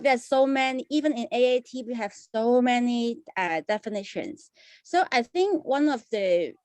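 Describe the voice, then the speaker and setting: A woman speaking talks earnestly, stopping and restarting as she searches for words. Her voice sits fairly high. The sound is dry and close. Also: darkish tone, no room sound. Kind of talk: video meeting discussion.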